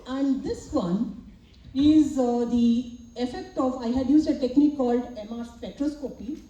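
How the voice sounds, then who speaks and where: A woman's voice: high-pitched, measured pace, dark tone, muffled recording. A middle-aged woman with an Indian English accent giving an academic talk, emphatic and asking rhetorical questions. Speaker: lecturer, researcher. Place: lecture hall. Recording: echoey hall, far from mic, faint noise.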